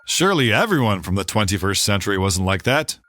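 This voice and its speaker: A man's voice: fairly low pitch, big pitch swings, quick pace, sharp tone, no echo, soft background music. An actor playing a square-jawed heroic man in an earnest 1930s radio serial style.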